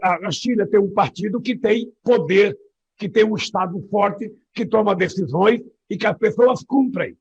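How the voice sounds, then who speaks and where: A man's voice: sits high, moderate pace, slightly rough. A man with a Brazilian accent making political remarks, emphatic and repeating his phrasing point by point, on a dull lo-fi clip. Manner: emphatic, listing with repetition.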